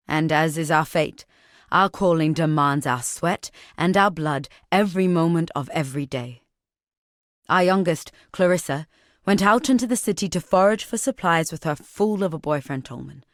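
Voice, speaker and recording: Game role: solemn warrior matriarch, voiced by an actress, fairly deep female register, deliberate and formal, protective, very dry recording. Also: dry recording, close to an everyday voice. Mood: urgent, pleading. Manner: measured, grave, formal, deliberate phrasing. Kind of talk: video game character dialogue.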